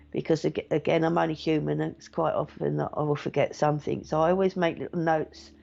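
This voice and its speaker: A middle-aged woman talks in a gentle, chatty way, reassuring listeners and reading out their comments with plenty of ums. Her voice sits lowish, with a gravelly fry and a soft, mellow tone. The sound is dull and lo-fi, like a home live stream. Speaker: meditation teacher and psychic medium.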